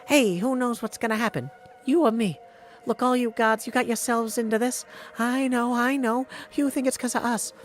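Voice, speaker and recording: A man's voice: extremely high pitch, normal speed, a little hiss or hum, pitched above the performer's own voice. A man voicing a breezy, folksy goddess of fate from a video game, chummy and offhand. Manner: offhand, chummy, calls listener sweetie. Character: breezy, folksy female Fates, casual elder goddesses. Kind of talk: video game character practice.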